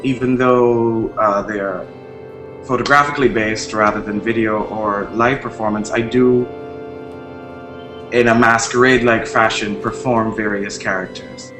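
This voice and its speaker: A man from Barbados, an artist, talking thoughtfully about his practice with pauses and restarts. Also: fairly low pitch, steady tone. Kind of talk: interview talk about his art practice. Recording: echoey room with music behind.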